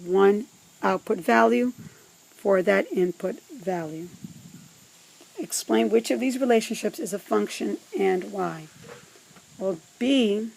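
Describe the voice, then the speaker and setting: A woman teaching math step by step, speaking in a deliberate, instructive way with pauses between phrases. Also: medium pitch, gravelly, darkish tone, low-quality recording. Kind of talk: scripted step-by-step instructional narration.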